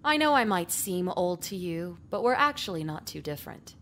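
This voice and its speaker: A woman voicing a stern, exasperated high school principal in a visual novel. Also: big pitch swings, normal speed, fairly bright tone, a little hiss or hum. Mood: resigned, frank.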